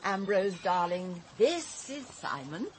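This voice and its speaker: A woman's voice: mid-range voice, very animated, slow, background noise. An actress playing a theatrical, imperious society woman in a British radio drama, effusive and drawling, with a British accent. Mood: breezy, imperious. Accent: British English.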